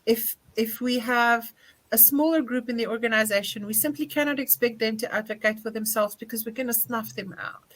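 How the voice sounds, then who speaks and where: A middle-aged woman with a South African accent answering earnestly and in a measured, emphatic way as a panelist. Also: mid-range voice, a bit bright. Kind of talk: thoughtful panel discussion answer.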